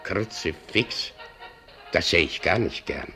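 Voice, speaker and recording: A man playing an opinionated older doorman in a German radio drama, muttering and reading haltingly with asides. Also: low-pitched, lively intonation, creaky, pushed and bright, soft background music, heavily acted. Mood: worried. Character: brooding, opinionated older doorman and newspaper clipper.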